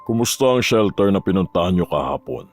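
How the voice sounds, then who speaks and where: A man's voice: deep voice, expressive, fairly quick, faint music behind. An actor playing a stern, wealthy older businessman father, lecturing firmly in an audio drama.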